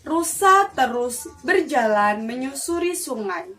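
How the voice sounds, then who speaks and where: A young woman telling a children's story in an animated, deliberate way. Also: high-pitched. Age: young adult. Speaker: student storyteller. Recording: distant mic with faint noise.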